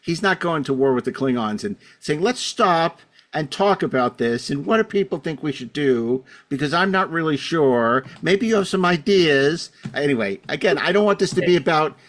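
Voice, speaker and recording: A man's voice: on the high side, expressive, moderate pace, few pauses, a bit bright. Rambling man hosting a podcast. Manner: rambling, frequent you-knows. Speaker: podcast host. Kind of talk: casual panel discussion.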